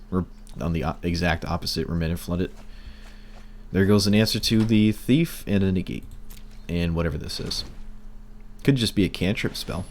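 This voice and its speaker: A man streaming a card game, talking through his plays in casual commentary, hoarse and losing his voice, wry and muttering at bad luck. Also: sits low, a little hiss or hum. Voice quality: breaking, cracking voice.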